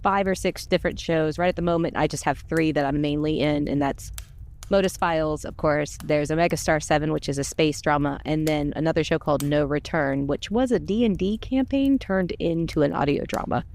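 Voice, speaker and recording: A woman's voice: deep voice, brisk, a little mellow. A woman chatting casually as a podcast voice actor, informal and self-effacing, rambling a little as she goes. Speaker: voice actor on an audio drama podcast. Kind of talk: casual chat.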